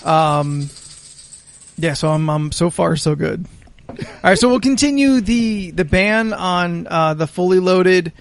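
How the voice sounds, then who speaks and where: A man's voice: sits high, expressive, slow pace, creaky. A man hosting a podcast in a casual, comedic chatting style. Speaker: podcast host.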